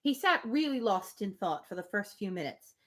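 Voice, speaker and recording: A woman's voice: mid-range voice, very animated, moderate pace, a bit bright. A woman reading a novel aloud on a livestream, breaking off for casual, opinionated asides. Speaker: reader on a livestream. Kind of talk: live book reading with casual commentary.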